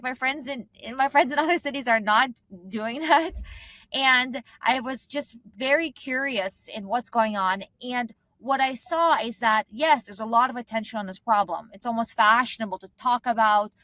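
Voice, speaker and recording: A woman's voice: fairly high pitch, a bit bright, no echo. A woman from Eastern Europe and Israel, a founder guest in an interview, telling a personal story conversationally. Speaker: guest, startup founder and CEO.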